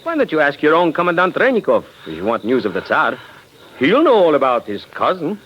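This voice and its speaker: An actor playing a chatty, condescending government official of Tsarist Russia in 1940s radio drama, talkative and given to exclamation. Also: male voice, fairly high pitch, huge pitch swings, a little fast, husky, exaggerated voice. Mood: mocking. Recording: dull, noisy old 1940s radio recording.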